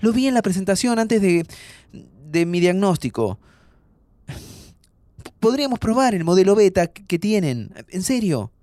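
Animated, high-voiced young Argentine man. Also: unhurried, pauses often, no echo. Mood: excited, urgent. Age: young adult. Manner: informal, expressive, breaks into restarts. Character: playful, impulsive young man facing terminal illness. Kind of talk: naturalistic audio fiction dialogue.

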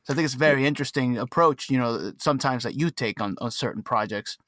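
A man's voice: mid-range voice, quick pace, fairly bright tone, dry recording, studio quality. A man born in Cuba, co-hosting a casual podcast chat in an easygoing, conversational way. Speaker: co-host.

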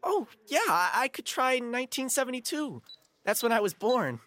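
A woman acts as a twelve-year-old boy in an audio drama, timid and eager at once. The delivery stammers and hesitates, with very lively intonation. The room around the voice echoes noticeably. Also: a little high, normal speed. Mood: excited. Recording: roomy, echoing sound.

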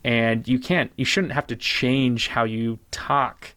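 A man being interviewed as a guest on a podcast, speaking casually and thoughtfully, repeating words and slowing down to stress key points. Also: mid-range voice, fairly even intonation, sharp tone, clean tone. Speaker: podcast guest, branding expert.

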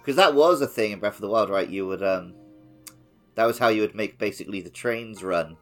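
A man's voice: lowish voice, brisk, fairly bright tone, faint music behind. A man co-hosting a casual game podcast, explaining game mechanics thoughtfully. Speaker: podcast co-host. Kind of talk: casual chat.

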